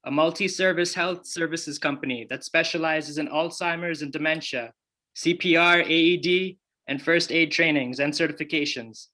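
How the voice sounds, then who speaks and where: Bright-voiced male podcast host. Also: a little high. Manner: friendly, with many fillers and restarts when asking. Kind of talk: casual interview.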